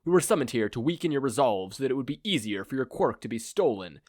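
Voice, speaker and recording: Audio story henchman, male reader: smug schemer, coolly menacing, animated delivery. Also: mid-range voice, quick pace, dry recording, studio quality.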